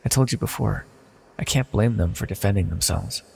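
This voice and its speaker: A man playing an ancient banished villain deity in a fantasy audio roleplay, proud yet wistful and tender, speaking in a formal, measured, confessional way. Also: fairly low pitch, vocal fry, darkish tone, slightly rough, exaggerated voice. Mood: wistful, guarded. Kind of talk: scripted fantasy audio roleplay, intimate monologue. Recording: long reverberant hall echo.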